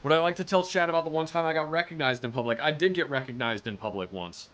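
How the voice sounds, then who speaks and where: A man's voice: on the high side, quick pace. A young man streaming a game live, chatting casually and joking as he plays. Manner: joking, chatty, animated reactions. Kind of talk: casual live-stream chat while gaming.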